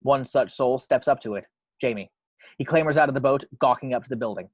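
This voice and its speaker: A man narrates the action lines of a screenplay. His voice is medium in pitch and recorded dry, without room sound. He tells it in a measured way that builds suspense. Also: no echo. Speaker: narrator reading action description.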